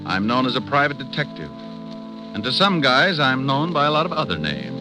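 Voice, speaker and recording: An actor playing a wisecracking, easygoing hard-boiled private detective in old-time radio style. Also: male voice, medium pitch, lightly acted, poor audio. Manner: wry, breezy wisecracks. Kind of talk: 1940s-50s radio detective narration and dialogue. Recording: old radio broadcast recording.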